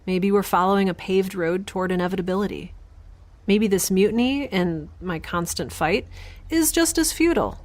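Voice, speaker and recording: A woman's voice: mid-range voice, normal speed, natural voice. A woman playing a weary, overwhelmed young scientist in a science fiction audio drama, speaking a hesitant, wry and confiding diary monologue. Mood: weary, brooding. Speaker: narrator and lead of an audio drama.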